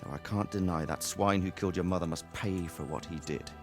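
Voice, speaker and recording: A man's voice: sits low, a little fast, over music, close to an everyday voice. An actor playing a middle-aged noble father who talks in a wry, kindly, worldly way. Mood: fatherly. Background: orchestral score.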